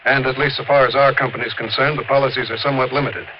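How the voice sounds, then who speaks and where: An actor playing a worried, businesslike American insurance manager in a 1950s radio drama, talking in an earnest, explanatory, conversational way. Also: male voice, mid-range voice, steady tone, fast talker, close to an everyday voice. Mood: earnest, explaining. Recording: dull, slightly noisy 1950s radio recording.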